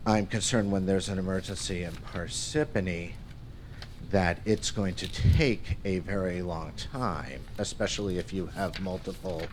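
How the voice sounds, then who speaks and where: A man from Connecticut speaking at public comment in a town council meeting, concerned and halting at times. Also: low voice, fairly even intonation, a little hiss or hum. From Connecticut, USA. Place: council chamber.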